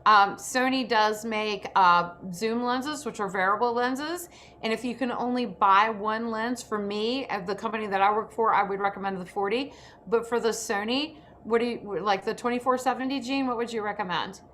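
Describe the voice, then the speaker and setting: Bright-voiced woman hosting, with background noise. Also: on the high side, moderate pace. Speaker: host of an industry program for actors. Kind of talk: casual instructional video call chat. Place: remote video call. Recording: noisy video call audio.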